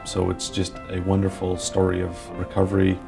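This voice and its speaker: A man's voice: low voice, monotone, moderate pace, vocal fry. A man giving a documentary interview as a marine life expert. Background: music.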